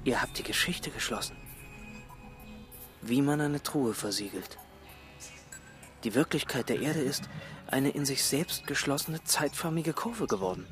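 A man playing an awed, probing explorer in a radio play, with a halting, questioning, intense delivery. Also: medium pitch, animated, music in the background, close to an everyday voice. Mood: intense realization. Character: male explorer, awed and probing, piecing mysteries together. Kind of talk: radio play acting, dramatic dialogue.

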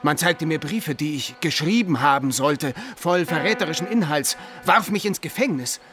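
A man's voice: on the high side, animated, normal speed, over music, natural voice. A man acting a proud, desperate, lovelorn young nobleman in a German radio play, speaking in earnest, impassioned monologue. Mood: anguished. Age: young adult.

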